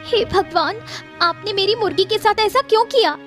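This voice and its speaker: Cartoon villager played by a woman: excitable, distressed, plaintive, agitated, very high voice. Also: normal speed, soft background music. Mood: upset, accusing. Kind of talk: Hindi cartoon story voice acting.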